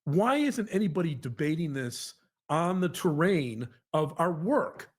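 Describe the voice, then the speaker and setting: A man with a fairly high voice talks in an earnest, conversational monologue. He is halting and repetitive, circling back to his points and stressing them. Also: big pitch swings, slightly rough. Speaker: podcast co-host, psychologist.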